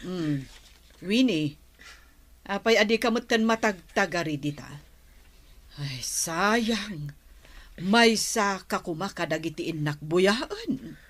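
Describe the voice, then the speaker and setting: A woman's voice: lowish voice, lively intonation, slow, pauses often, a bit bright, heavily acted. An actress with a Filipino accent playing a scolding, exasperated mother in a radio drama. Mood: wistful prodding. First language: Ilocano.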